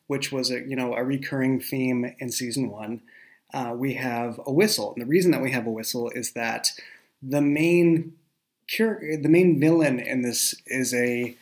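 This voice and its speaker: A man who writes and creates a show, chatting casually and explaining in a halting, self-correcting way. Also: mid-range voice. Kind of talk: casual behind-the-scenes chat.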